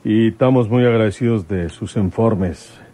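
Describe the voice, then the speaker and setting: An actor playing an older police commissioner in a radionovela, grieving and set on vengeance. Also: male voice, fairly low pitch, moderate pace, warm tone, lightly acted. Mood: grave, polite. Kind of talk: radionovela acting.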